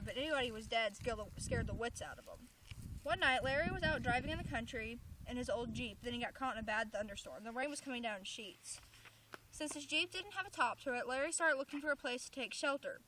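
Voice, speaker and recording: A woman's voice: sits high, noisy background. A woman reading stories aloud in an informal way, slipping into chatty asides.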